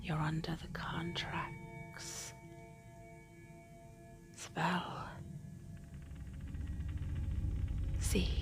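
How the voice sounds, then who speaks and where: A woman playing a seductive, menacing female demon in a dark roleplay, speaking in a breathy, creaky whisper with a taunting, possessive manner. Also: on the low side, full character voice. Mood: taunting, hungry. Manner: slow, drawn-out, taunting, possessive. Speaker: roleplay audio performer.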